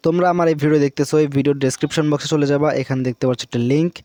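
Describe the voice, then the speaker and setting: A man's voice: fairly high pitch, steady tone, racing, warm tone, no room sound. A man with a Bangladeshi accent hosting a casual, unscripted tutorial, repeating himself and often checking in with short tag questions. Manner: repetitive, frequently seeking confirmation with tag questions. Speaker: tutorial video host.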